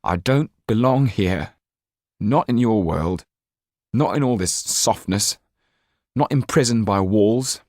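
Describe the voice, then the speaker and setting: A man's voice: fairly low pitch, expressive, takes it slowly, dry recording, clean studio sound, pitched above the performer's own voice, exaggerated voice. A man voicing an angry, stubborn, grieving orphan boy in a storybook reading, speaking with strong emotion. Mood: bitter, defiant. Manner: emotional, through gritted teeth or shouting.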